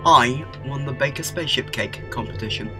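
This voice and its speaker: A man's voice: mid-range voice, over music, close to an everyday voice. A man playing a whimsical, upbeat alien time traveller with a playful manner in a fan audio drama. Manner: playful, animated, quick shifts.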